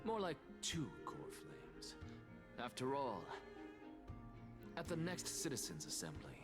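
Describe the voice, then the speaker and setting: An actor playing a smug, cunning male scholar in a video game, with a theatrical, measured and sardonic delivery. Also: mid-range voice, wildly swooping pitch. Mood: persuasive, confident. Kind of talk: video game story acting. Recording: game audio with music behind.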